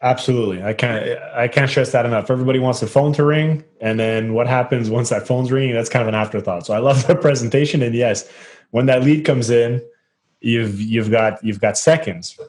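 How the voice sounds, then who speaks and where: A man's voice: medium pitch, fairly quick, a little mellow. Man, host, mid-range voice, casual online chat, agreeable, emphatic.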